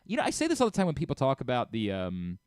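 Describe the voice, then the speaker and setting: A man's voice: mid-range voice, wildly swooping pitch, racing, a little mellow, dry recording, studio quality. A man with an American accent hosting a conversational sports radio interview.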